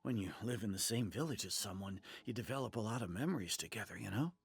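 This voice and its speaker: An actor playing a weary, sincere village merchant in a video game, hesitant and earnest, with a gravelly voice. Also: male voice, on the low side, expressive, quick pace, exaggerated voice. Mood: flustered, apologetic. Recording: music under the voice. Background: game music.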